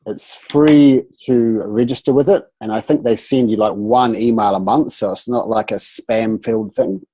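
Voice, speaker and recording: Nasal, mellow-voiced male voice coach. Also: on the low side, moderate pace, husky, low-quality recording. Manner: animated, playful, trails off into restarts. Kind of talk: casual group video chat. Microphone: video call.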